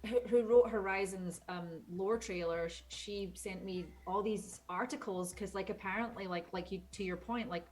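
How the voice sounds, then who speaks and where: A woman's voice: mid-range voice, normal speed, hardly any pauses, a little mellow, low-quality recording. A woman voice actor, guest in a casual chat, bubbly and rambling, laughing at her own stumbles. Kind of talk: casual video-call chat.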